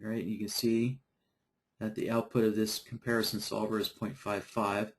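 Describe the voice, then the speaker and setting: A man teaching trading software in a live online session, losing his voice from a dry throat and clearing it often, talking in a halting, unhurried way. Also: fairly low pitch, level tone. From Idaho. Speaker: software trainer. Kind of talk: live webinar screen-share tutorial.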